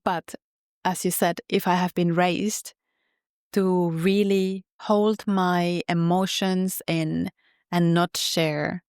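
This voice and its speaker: A woman with a Finnish accent, a podcast guest speaking in an earnest, measured way. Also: lowish voice, level tone, darkish tone, clean tone, dry recording, clean studio sound. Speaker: podcast guest, health coach. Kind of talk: reflective interview talk.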